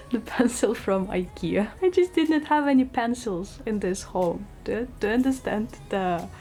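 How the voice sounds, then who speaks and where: A young woman with a Russian accent, a native Russian speaker, narrating a casual lifestyle vlog in a chatty, relaxed way with small slips in English grammar. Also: medium pitch, a little slow. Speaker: lifestyle vlogger.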